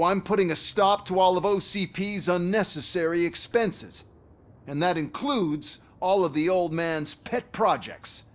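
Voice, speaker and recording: A man's voice: high-pitched, a bit bright, heavily acted. An actor playing a smug, callous corporate executive in a video game, sneering and boastful as he mocks others.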